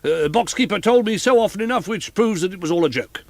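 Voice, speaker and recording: A man's voice: high-pitched, animated, quick pace, no echo, pitched above the performer's own voice. A man voicing a nervous male theatre inspector who splutters and stammers through his explanations. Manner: hesitant, stammering.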